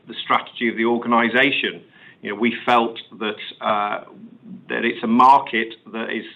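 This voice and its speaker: Over a narrow phone-like connection, a man speaks at a medium pitch as a company executive. He explains things in measured corporate fashion, fielding questions on an earnings call. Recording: muffled phone-line conference call audio.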